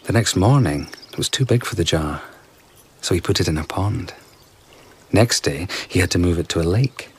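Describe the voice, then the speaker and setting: An actor playing an enigmatic, flirtatious traveller in a radio drama, telling tales in an unhurried, playful way. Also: male voice, deep voice, lively intonation, slight background noise. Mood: playful, storytelling. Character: enigmatic, charming, flirtatious well-travelled male storyteller of uncertain age. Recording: outdoor garden ambience with insect chirps.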